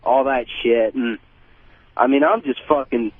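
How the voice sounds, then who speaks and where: A man's voice: mid-range voice, unhurried, no echo. A man calling a radio show by phone, chatting in casual, heavily profane slang. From Nevada. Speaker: phone-in caller. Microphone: telephone. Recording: tinny phone line.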